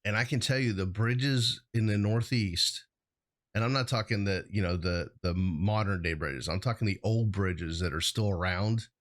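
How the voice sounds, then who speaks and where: A man's voice: lowish voice, moderate pace. A man co-hosting a casual shop-talk discussion, speaking in an informal, plain-spoken way. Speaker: hazmat consultant and show host. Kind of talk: casual chat, professional topic.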